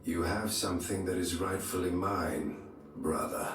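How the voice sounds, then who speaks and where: Pompous low-voiced crusader warlord, echoing. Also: male voice, steady tone. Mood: scornful. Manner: pompous, taunting, deliberate. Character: arrogant, scornful crusader warlord, self-proclaimed messiah. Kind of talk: dramatic video game cutscene acting. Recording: distant, very echoey, loud music behind.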